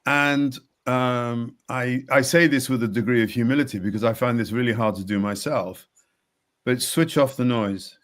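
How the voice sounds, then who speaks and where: A man, an executive coach, talking slowly and reflectively in a conversation, repeating words as he thinks. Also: mid-range voice, fairly even intonation. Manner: slow, measured, with repeated words and soft laughs.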